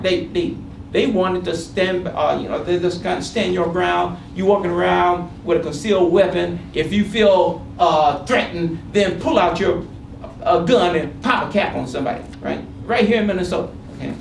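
A middle-aged American man speaking on a panel, reading a prepared statement with asides in an emphatic, deliberate, sometimes halting way. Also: sits high, creaky. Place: indoor panel room. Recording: roomy, distant microphone, noisy background.

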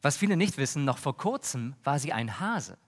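A man in his thirties playing an earnest, polite talk-show host with a formal, measured manner. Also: mid-range voice, sing-song. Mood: welcoming. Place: large brick-walled indoor stage. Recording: reverberant theatre hall.

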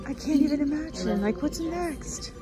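A woman in her thirties, a mother, vlogging casually on a phone with a chatty, relaxed manner. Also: sits high, normal speed, loud music behind the voice. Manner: chatty, relaxed, gently encouraging. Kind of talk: casual vlog narration. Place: cruise ship dining room and cabin.

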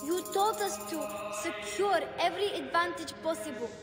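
Playful little child in a game, super high pitched, echoey. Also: female voice, normal speed, music in the background. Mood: excited. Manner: eager, playful. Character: excited small child.

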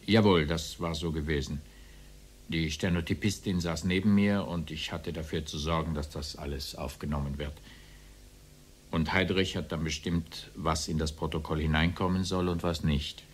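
Give this radio play a very low male voice: an evasive former officer on trial. He answers the judge deferentially, in formal and circuitous phrases. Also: fairly even intonation, moderate pace, slight background noise. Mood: explaining, careful. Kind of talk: radio play courtroom dialogue.

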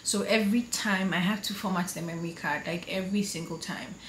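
A woman's voice: lowish voice, a little fast, fairly bright tone, roomy sound, low-quality recording. A woman in her twenties chatting upbeat to her viewers in a casual vlog, filmed on a camera at arm's length at home. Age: 20s. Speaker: vlogger. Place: bedroom and home office, indoors. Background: air conditioner hum.

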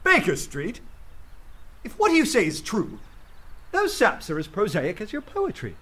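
An actor playing an earnest, respectable poet of law and order in a radio play, speaking with literary rhetoric. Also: male voice, high-pitched, huge pitch swings, sharp tone, husky, exaggerated voice. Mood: fervent debate. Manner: measured when narrating, fervent and rhetorical in debate. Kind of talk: radio drama narration and acting. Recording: music bed under the voice.

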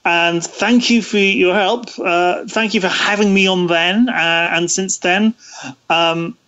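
A man giving thoughtful interview answers, halting as he searches for words. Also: high-pitched, fairly even intonation, bright, forceful tone, smooth, dull audio. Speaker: interview guest, radio series producer.

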